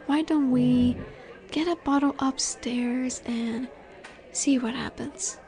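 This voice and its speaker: A woman acting a high-pitched, teasing alpha she-wolf. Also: fairly even intonation, warm tone. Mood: intrigued, seductive. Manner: teasing, sultry, unhurried with drawn-out pauses. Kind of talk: roleplay audio acting addressed to the listener. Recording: bar chatter in the background.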